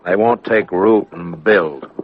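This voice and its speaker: An American man playing a stern, world-weary frontier marshal in a 1950s radio western, terse and deadpan. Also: sits low, takes it slowly, a little hiss or hum. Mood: weary, resolute. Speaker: lead actor and narrator of a western radio drama. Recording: muffled vintage radio recording with music.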